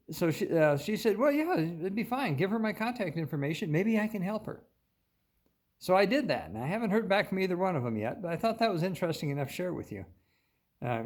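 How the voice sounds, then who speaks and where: An older American man with a slightly high voice for a man talks casually to his viewers. He tells his story in a folksy, rambling way, stopping often mid-sentence before picking up the thread again. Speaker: host of a paranormal viewer-story channel.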